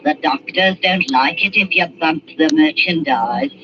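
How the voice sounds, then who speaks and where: A scolding old crone. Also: female voice, lowish voice, animated, measured pace, gravelly, over music. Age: older. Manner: scolding, wheedling, coarse. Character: scheming old woman who trades in stolen corpses. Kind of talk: 1940s radio acting. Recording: muffled old radio recording.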